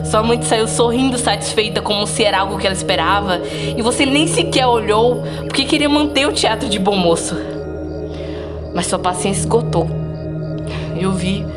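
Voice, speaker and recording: A woman's voice: medium pitch, lively intonation, normal speed, close to an everyday voice. A woman playing a vengeful, obsessive female stalker in an amateur audio drama, bitter, accusing and scornful. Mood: accusing, indignant. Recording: loud music under the voice.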